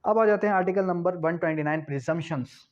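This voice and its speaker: Man, law tutor, rhetorical questions, fairly high voice, dull lo-fi sound. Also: quick pace. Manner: explains with rhetorical questions and repetition. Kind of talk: exam-prep law lecture. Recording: dull lo-fi recording.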